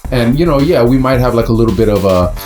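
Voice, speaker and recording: A man's voice: mid-range voice, lively intonation, fast talker, darkish tone, soft background music. A man from the United States giving casual, rambling commentary with many fillers and restarts. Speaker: host.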